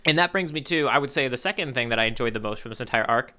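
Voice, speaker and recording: A man's voice: mid-range voice, animated, very fast, pushed and bright. A man co-hosting a podcast in casual conversation, peppering his talk with "you know". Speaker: podcast co-host. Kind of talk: casual chat recapping a manga arc.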